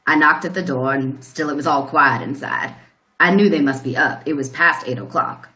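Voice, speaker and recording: Woman playing a low-voiced, folksy farmer in echoey room. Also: moderate pace, bright, forceful tone. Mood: folksy, puzzled. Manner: folksy, rambling storytelling. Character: plain-spoken rural male farmer recounting a shock. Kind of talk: radio play acting. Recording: roomy, echoing sound.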